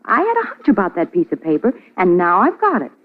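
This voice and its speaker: Muffled old radio sound, inquisitive lady sidekick, animated pitch, polished, determined. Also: quick pace. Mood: eager, insistent. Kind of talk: 1940s radio drama acting.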